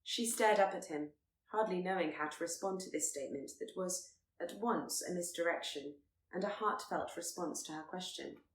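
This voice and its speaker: A woman's voice: on the low side, moderate pace, echoey room, poor audio. A woman reading a period romance story aloud as scripted narration. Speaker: audiobook narrator.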